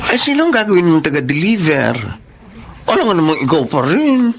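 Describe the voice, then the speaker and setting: An actress playing a blunt, teasing but supportive young friend in a Tagalog radio drama, chatty and given to exclamations. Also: female voice, lowish voice, big pitch swings, fairly quick, a little hiss or hum. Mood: excited, encouraging.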